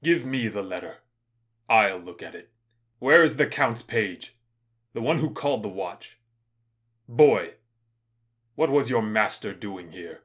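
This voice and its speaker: A commanding ruler voiced by a man sits in judgment in an audio tragedy. His voice is fairly low, stern and deliberate, full of authority. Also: lively intonation, fairly bright tone, heavily acted. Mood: grave, commanding. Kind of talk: modernized Shakespeare audio drama acting.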